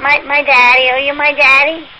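A high, plaintive little girl's voice, put on by a prank caller. She keeps asking the same thing over and over. The call sounds noisy and a little distant. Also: little pitch movement, moderate pace. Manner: plaintive, repeating herself. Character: whiny little girl looking for daddy. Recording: noisy phone line.

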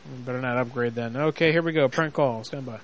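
A man's voice: medium pitch, fast, slight background noise. A man hosting a call-in stream, chatting casually while he sets up calls. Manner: loose, offhand, chatty. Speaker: show host. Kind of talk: casual live-stream chat.

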